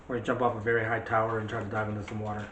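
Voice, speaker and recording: A man's voice: lowish voice, flat delivery, very fast, a bit bright, lo-fi sound. Echoey, rambling middle-aged man chatting. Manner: teasing, rambling. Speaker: co-host of a movie review channel. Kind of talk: casual chat. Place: room at home. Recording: roomy echo, faint background noise.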